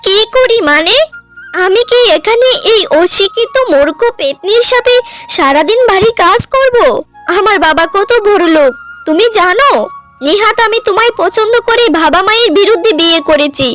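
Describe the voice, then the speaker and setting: Cartoon spoiled young wife, played by a woman: high voice, scornful, complaining, rich-girl arrogance. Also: animated, moderate pace, a bit bright, soft background music. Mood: indignant, scornful. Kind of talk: cartoon drama acting.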